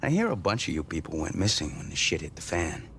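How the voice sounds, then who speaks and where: A man acting as a cynical, gruff ex-contractor in a video game, crude and sardonic. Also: deep voice, big pitch swings, fast, fairly bright tone, slight background noise. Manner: sardonic, irreverent. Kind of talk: dramatic game cutscene acting.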